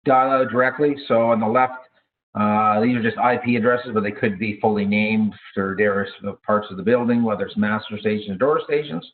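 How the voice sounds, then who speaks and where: Guy doing a product training talk, lowish voice, ums and restarts a lot, sounds like hes on a phone line. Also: steady tone. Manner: halting, self-correcting delivery with frequent hesitations. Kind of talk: informal product training presentation. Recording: thin, phone-like narrow-band audio.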